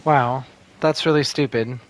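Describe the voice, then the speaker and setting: Low-voiced woman chatting casually over gameplay. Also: steady tone, unhurried, background noise. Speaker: streamer.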